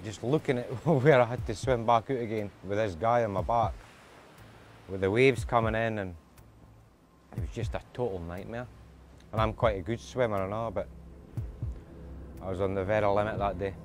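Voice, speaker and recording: A man's voice: lowish voice, fairly even intonation, moderate pace, pauses often, a little hiss or hum. A Scottish lifeboat crewman, recounting a rescue in a plainspoken interview manner. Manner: plainspoken, with a short laugh now and then. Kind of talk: interview recollection.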